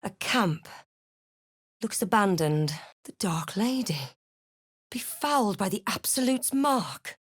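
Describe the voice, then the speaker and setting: A woman voicing a snarky, brooding adventurer hero in a fantasy video game, deliberate and theatrical with dry wit. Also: medium pitch, lively intonation, husky, dry recording. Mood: hushed, wary. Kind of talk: fantasy video game voice lines, narration and barks.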